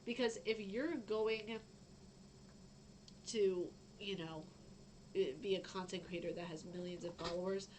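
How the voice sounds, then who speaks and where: A young woman with a fairly low voice, chatting casually on a gaming news stream and reading out news, rambling with lots of fillers. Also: a little slow, pauses often, darkish tone, a little hiss or hum, low-quality recording. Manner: chatty, rambling, frequent fillers and self-interruptions. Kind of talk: casual live-stream chat.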